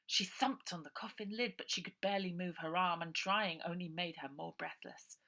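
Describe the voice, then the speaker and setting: A woman narrating her own children's novel in an expressive, suspenseful way, often dropping to a breathy near-whisper. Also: lowish voice, moderate pace. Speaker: author reading own novel. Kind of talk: dramatic children's audiobook narration.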